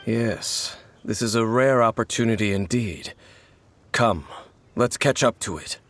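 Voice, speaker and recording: A grave, gravelly wanderer. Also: male voice, fairly low pitch. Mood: solemn. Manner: measured, cryptic, solemn. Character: mysterious grave male wanderer who hunts the Abyss. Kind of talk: video game voice acting. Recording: game audio with loud music.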